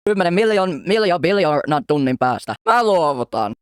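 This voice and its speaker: A hapless cartoon cat, acted out by a man in an amateur comedy dub. The voice sits fairly high and swings widely in pitch, exasperated and quick to exclaim. It sounds clean and smooth. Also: very animated, dry recording, studio quality. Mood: frustrated. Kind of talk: amateur animated comedy fandub.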